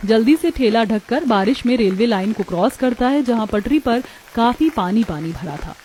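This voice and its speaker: Mellow woman narrating a story. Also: fairly high pitch, expressive, moderate pace, slight background noise. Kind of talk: scripted cartoon story narration.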